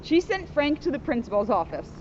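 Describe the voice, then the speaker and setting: Animated, higher-voiced woman reading aloud outdoors. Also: a little fast. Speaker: police officer reading a children's book. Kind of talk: read-aloud storytelling with chatty asides. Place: outdoors in a sunny spot by a school. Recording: dull, faintly noisy outdoor recording.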